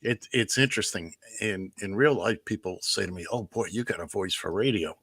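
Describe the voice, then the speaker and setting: A man's voice: lowish voice, brisk, a bit bright, slightly rough, no echo. A man co-hosting a casual radio show, bantering with teasing and then hosting a weekly game segment in a showy announcer manner. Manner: playful, theatrical announcer delivery with teasing.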